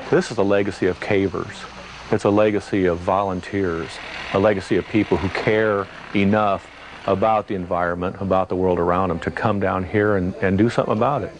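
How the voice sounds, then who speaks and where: A man speaking as an interview guest, in a conversational, somewhat halting way. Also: deep voice, fairly even intonation, noisy background. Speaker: volunteer caving project leader, interview guest.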